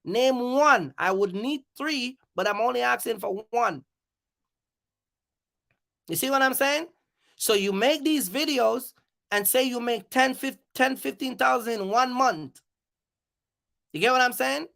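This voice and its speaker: Bright, forceful, gravelly livestream host. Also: mid-range voice, slow, long pauses. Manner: emphatic, repeats phrases for emphasis; confrontational, challenging listeners. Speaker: live stream host. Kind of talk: off-the-cuff livestream rant.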